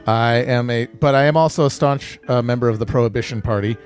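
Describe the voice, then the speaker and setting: A man's voice: medium pitch, fairly quick. A man playing a tabletop game, giving a comic introduction of his character. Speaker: player in a comedy tabletop game. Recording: clear music bed under the voice.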